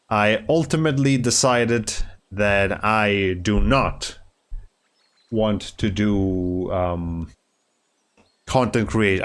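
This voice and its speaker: A man with a lowish voice talks in a calm, reflective monologue. He sounds slightly nasal from a cold and speaks softly, pausing often with fillers and restarts. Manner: soft-spoken, halting, many fillers and restarts. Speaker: streamer.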